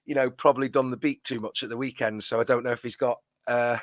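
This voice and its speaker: A man's voice: mid-range voice, steady tone, fast talker, dry recording, studio quality. A man giving post-match reaction as a fan channel co-host, rambling and trailing off into a mutter at the ends of his phrases.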